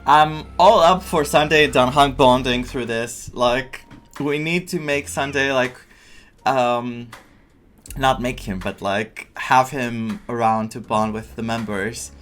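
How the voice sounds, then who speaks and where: Slow-paced man commenting on a game. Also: mid-range voice, fairly bright tone, slight background noise. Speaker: streamer reacting to a game. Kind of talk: casual playthrough commentary.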